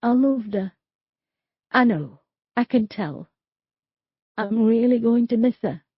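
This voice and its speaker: A woman's voice: fairly high pitch, lively intonation, takes it slowly, husky, no room sound, dull audio, voice pushed up, heavily acted. A woman doing a bitter grieving young man. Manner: bitter, confrontational, swearing.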